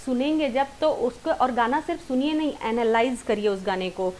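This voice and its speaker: A woman's voice: on the high side, normal speed, noisy background. A woman with an Indian accent giving an informal singing lesson online, explaining directly to viewers and mixing in English terms. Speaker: singing teacher.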